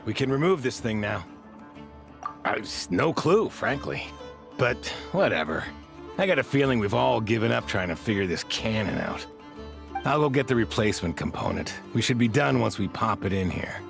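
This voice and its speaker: A man's voice: lowish voice, big pitch swings, moderate pace, vocal fry, faint music behind. A man voicing a frank, laid-back but dutiful soldier in an English video game, talking casually.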